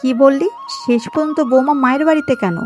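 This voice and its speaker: Woman acting high, scolding old village auntie. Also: brisk, darkish tone, faint music behind, lightly acted. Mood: indignant. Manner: insistent, scolding, questioning. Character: bossy, kind-hearted elderly village auntie, scolding neighbour. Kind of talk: scripted moral-story cartoon dialogue.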